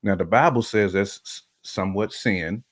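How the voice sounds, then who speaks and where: A man with a low voice talks as a co-host in a casual, opinionated style. The sound is dry and close. He uses colloquial phrasing and repeats himself to drive a point home. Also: moderate pace, dry recording. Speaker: talk show co-host.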